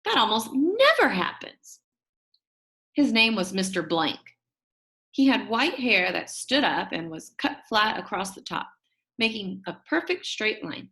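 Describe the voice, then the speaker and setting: A woman with a mid-pitched voice reads a children's book aloud. She narrates in an expressive storytelling style, shaping the lines as a young character would tell them. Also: unhurried. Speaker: audiobook reader.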